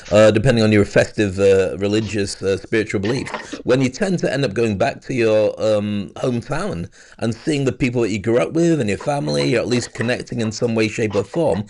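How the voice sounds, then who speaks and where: A man's voice: lowish voice, a little mellow. A British man hosting a casual live-stream chat over a video call, reflective and chatty. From Britain. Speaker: host.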